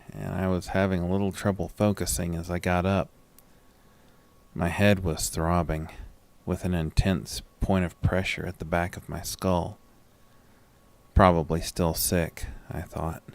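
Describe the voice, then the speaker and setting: An actor playing a sick, groggy man who tells his own horror story in a weary, measured way. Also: low-pitched, clean tone. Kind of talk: first-person scripted horror narration with acted dialogue.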